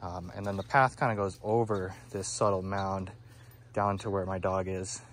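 A man giving a relaxed walking tour of his garden outdoors, with lots of "um, you know," filmed on a handheld phone. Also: low-pitched, normal speed, a little hiss or hum. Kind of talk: casual walking tour explanation. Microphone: phone camera held by the speaker.

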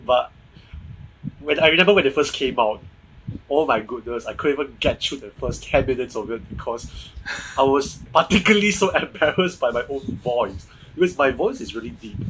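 A man's voice: mid-range voice, lively intonation, moderate pace, a bit bright, slight background noise, rough recording. A young man in his twenties with a Singaporean English accent, hosting a podcast over a video call and chatting loosely with frequent restarts. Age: 20s. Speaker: podcast host. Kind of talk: casual video-call chat. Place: home room with shelves. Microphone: earphone mic on video call.

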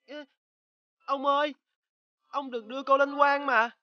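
A performer voicing a polite, eager young runaway boy who pleads earnestly in an animated fairy tale. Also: high voice, a little slow, dry recording, muffled recording. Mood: pleading.

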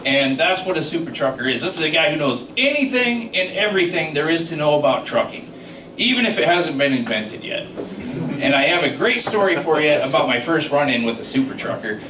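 A man's voice: a little high, animated, fairly quick, background noise. A middle-aged man doing a stand-up comedy set about trucking, telling stories with sound effects and asides to the crowd. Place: small comedy club stage. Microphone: handheld mic, filmed from the audience. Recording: echoey club from far off. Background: audience laughter.

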